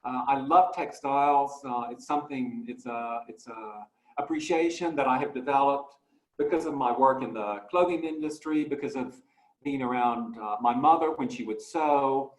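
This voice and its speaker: Halting, reflective man in an echoey room. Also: medium pitch. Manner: halting, many fillers and restarts. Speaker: guest artist. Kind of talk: presenting artwork in a talk.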